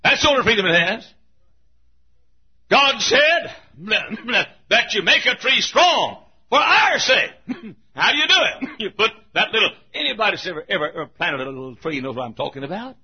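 An older man preaching a sermon in a church, swinging from hushed asides to shouting and chuckling through his lines. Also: high voice, very animated, normal speed, slightly rough. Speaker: pastor. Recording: muffled lo-fi recording.

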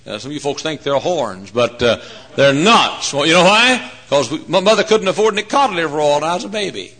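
A male preacher in his forties delivers a folksy, joking sermon. His voice sits a bit high and bright, with wide animated swings in pitch. He drops to hushed asides, then rises to loud, emphatic points. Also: a little fast. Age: 40s. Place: church.

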